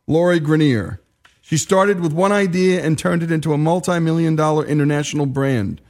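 A male radio show host introducing segments with emphatic, conversational narration. His voice sits a little high for a man, and he keeps breaking off into casual asides.

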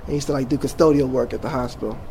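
A man's voice: mid-range voice, rapid-fire, vocal fry, a little hiss or hum, rough recording. Laid-back man who rambles with fillers. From Jamaica. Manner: relaxed, rambling with many fillers and restarts. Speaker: teacher. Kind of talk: casual interview.

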